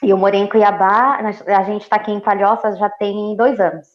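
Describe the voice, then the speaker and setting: A woman in her forties from Ceará, raised in São Paulo, a nutritionist talking as a guest in a lively, easygoing way. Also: mid-range voice, normal speed, dull audio. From Ceará, Brazil, raised in São Paulo. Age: 40s. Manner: lively, laughs easily, repeats phrases. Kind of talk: casual interview.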